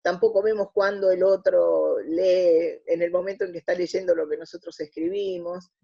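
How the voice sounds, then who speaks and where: A woman's voice: lowish voice, fairly even intonation, normal speed, vocal fry, husky. A middle-aged woman with an Argentine Spanish accent, giving reflective, casual interview answers and often searching for her words. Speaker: interview guest. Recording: dull lo-fi audio.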